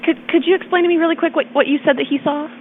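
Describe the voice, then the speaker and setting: A woman's voice: high-pitched, fairly even intonation, very fast. A woman working as a hotel front desk agent, speaking in polite customer service style over the telephone. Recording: tinny phone line, faint noise.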